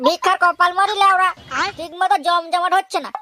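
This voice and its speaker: A performer voicing a crude, meddling sidekick in a comedy cartoon, squeaky and excitable. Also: fairly quick, noisy background. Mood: grumbling. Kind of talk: comedy cartoon dialogue in colloquial Bengali. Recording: loud music behind the voice.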